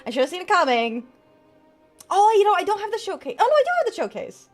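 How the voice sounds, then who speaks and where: High, lively woman streamer chatting. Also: fast talker, soft background music. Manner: chatty, answers chat aloud, laughs often, trails off and restarts. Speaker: VTuber streamer. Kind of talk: casual live-stream chat with tarot readings.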